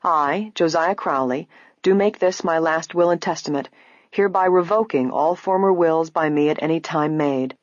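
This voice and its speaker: Calm, formal male lawyer, read by a woman. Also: low voice, normal speed, no echo, lo-fi sound, close to an everyday voice. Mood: measured reading. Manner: even, formal.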